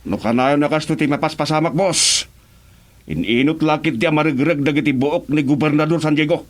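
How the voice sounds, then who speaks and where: A man's voice: a little high, a little fast, natural voice. A man playing a cocky, leering kidnapper henchman in a radio drama, with an Ilocano Filipino accent. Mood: gloating.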